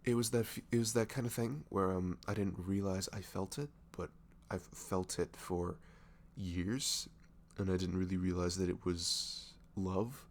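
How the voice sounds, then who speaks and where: A man playing a nervous, dorky best friend confessing love in an intimate ASMR roleplay, halting and stammering, with sighs and soft breathy moments. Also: sits low, fairly even intonation. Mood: nervous, earnest. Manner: halting, stammering, sighing, breathy laughs, often dropping to whispers. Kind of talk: roleplay ASMR audio drama, intimate and conversational.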